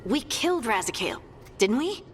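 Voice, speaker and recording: High-voiced hopeful game companion. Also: female voice, very animated, moderate pace. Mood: hopeful, unsure. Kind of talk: video game dialogue acting. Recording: echoey hall with faint noise.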